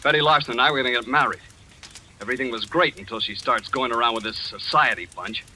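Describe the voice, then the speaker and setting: An actor playing a bitter, tough young working man in an old radio mystery, gruff and blunt in manner. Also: medium pitch, fast talker, sharp tone, low-quality recording. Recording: old radio recording, faint noise.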